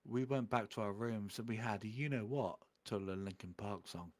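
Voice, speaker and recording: A man's voice: lowish voice, normal speed. A man doing a theatrical, comedic reading of amateur fan fiction, putting on voices for the quotes.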